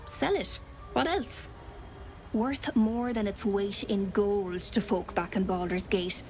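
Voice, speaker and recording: A woman's voice: medium pitch, takes it slowly, darkish tone, soft background music. A woman playing a weary, hard-bitten trader in a video game, speaking bluntly and plainly. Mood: blunt, guarded.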